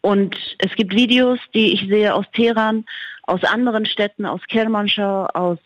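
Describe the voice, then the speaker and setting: A woman's voice: mid-range voice, fairly even intonation, normal speed, no room sound. Woman journalist from germany, emphatic, piles up lists, dry dull-ish recording. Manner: emphatic, building long lists. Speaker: journalist guest. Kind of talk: interview answers.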